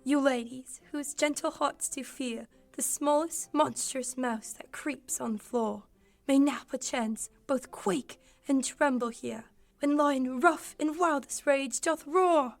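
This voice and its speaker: A young woman as timid, high-voiced joiner-lion. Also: takes it slowly, creaky, heavily acted. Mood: timid, earnest. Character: timid joiner earnestly playing a gentle, apologetic lion. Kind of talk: 1940s radio play acting of Shakespeare verse.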